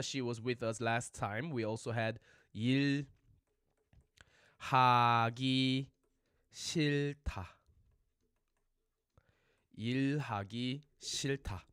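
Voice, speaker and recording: A man's voice: mid-range voice, a bit bright, no room sound, studio quality. A man teaching a casual livestream lesson, chatting with viewers and repeating phrases for learners. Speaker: Korean language teacher.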